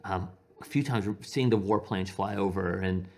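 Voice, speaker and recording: A man in his forties with a low voice speaks in a conversational interview style. He often doubles back on his opening words and corrects himself as he reflects and tells personal stories. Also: moderate pace, a little mellow. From Iran. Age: 40s. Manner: hesitant, self-correcting, repeats opening words. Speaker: physician being interviewed.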